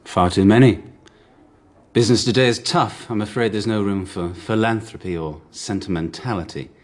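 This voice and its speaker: A man's voice: lowish voice, normal speed, natural voice. An actor playing a guarded, prickly businessman under questioning in a radio drama, curt and defensive. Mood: guarded, dry.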